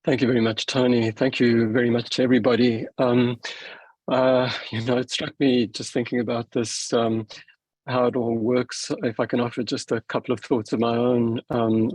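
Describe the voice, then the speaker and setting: A middle-aged man from South Africa, moderating a panel and closing it with his own halting remarks full of ums and restarts. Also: mid-range voice, a little mellow, breathy edge, no echo, low-quality recording. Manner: hesitant, many fillers and restarts.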